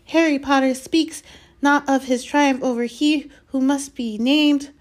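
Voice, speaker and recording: Woman putting on a squeaky, servile little male elf. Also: steady tone, a little slow. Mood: reverent. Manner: squeaky, speaks of self in third person. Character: small excitable male house-elf, servile, reverent, fearful. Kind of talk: dramatised storybook reading.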